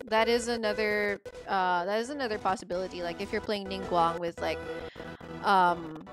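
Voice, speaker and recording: A woman's voice: mid-range voice, low-quality recording. A young adult woman from the Philippines chatting casually to viewers on a game livestream in a rambling, chatty way. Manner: chatty, rambling, filler-heavy with frequent "like". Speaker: game streamer.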